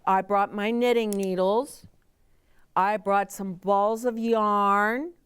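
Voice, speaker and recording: A woman's voice: mid-range voice, fairly even intonation, takes it slowly, smooth, dry recording. A middle-aged woman reading a children's story aloud, expressively, with chatty asides explained simply as if to young children.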